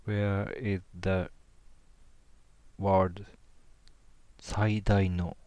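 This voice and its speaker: A man with a Japanese first language, talking slowly in a low voice into a headset, halting and sounding out words under his breath. Keyboard clicks and typing sit behind him in a small room. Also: level tone, darkish tone, clear, resonant tone, dry recording. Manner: hesitant, sounding out words, muttering to himself. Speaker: language learner streaming practice. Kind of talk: casual self-talk while studying.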